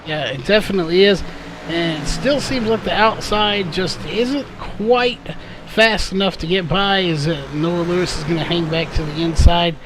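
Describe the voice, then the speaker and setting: A man's voice: sits high, moderate pace, noisy background. A man doing live play-by-play race commentary, full of fillers and self-corrections, his voice a little worn. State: slightly losing his voice.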